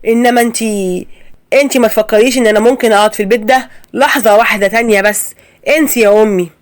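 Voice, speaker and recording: A woman with an Egyptian accent voicing a young husband, earnest and insistent, in her own fairly high voice. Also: fairly even intonation, very fast, close to an everyday voice. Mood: firm. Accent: Egyptian Arabic. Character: young husband, shocked and resolute.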